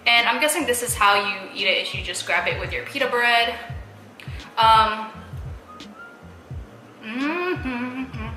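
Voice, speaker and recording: A chatty young woman eats on camera and talks casually to her viewers, with lots of fillers and easy laughs. Her voice is bright and sharp and bounces around an echoey room. Faint music plays behind her. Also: fairly high pitch, moderate pace. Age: young adult. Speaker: mukbang vlogger. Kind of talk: casual chat while eating.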